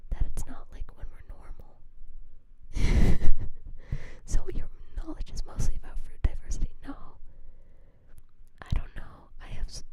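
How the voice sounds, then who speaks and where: A young woman streamer chatting in a steady whisper, rambling and swearing freely as she mocks herself. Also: low-quality recording. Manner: whispering throughout. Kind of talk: whispered casual livestream chat.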